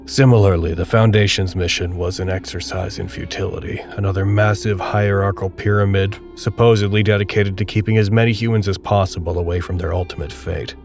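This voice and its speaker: A man narrating a dark audio drama in a measured, literary, grave and detached manner. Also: low voice, steady tone, over music. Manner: measured, literary, solemn storytelling.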